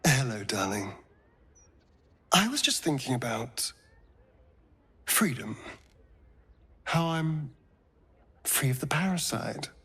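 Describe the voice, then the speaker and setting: An actor playing a suave vampire rogue in a video game, with an arch, drawling, theatrical manner that is teasing and tender. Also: male voice, medium pitch, big pitch swings, slow, pauses often, bright, forceful tone, husky, full character voice. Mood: wistful, purring. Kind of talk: dramatic video game dialogue.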